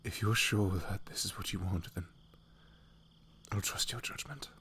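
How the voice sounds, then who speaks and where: A man's voice: fairly low pitch, moderate pace, a bit bright, slight background noise, lightly acted. A man playing a devoted, protective young knight in love, tender and hesitant, in a romantic fantasy ASMR roleplay. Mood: tender, worried.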